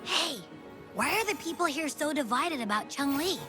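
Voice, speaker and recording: Little game creature, high voice, super animated, sleepy and blunt, childlike grumbles. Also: female voice, moderate pace, music in the background. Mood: puzzled, drowsy. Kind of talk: video game voice acting.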